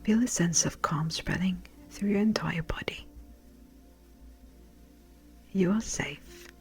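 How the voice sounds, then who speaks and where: A woman with a breathy, whispery voice gently and soothingly guides a meditation in a measured way. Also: on the low side. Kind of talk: slow guided meditation narration. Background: music.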